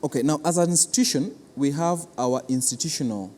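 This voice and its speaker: A man with a Kenyan accent explains things as an interviewed official, speaking haltingly and repeating his words. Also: on the high side, a little mellow. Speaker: cultural institution official being interviewed. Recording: reverberant hall with clear background noise.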